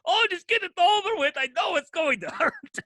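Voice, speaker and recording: A pompous vampire prince of darkness for podcast comedy, acted out by a podcaster. The voice sits high and swoops theatrically, grand and haughty yet fussy and easily spooked. It is bright and close. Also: animated, moderate pace, no room sound, full character voice. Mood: imperious, nervous.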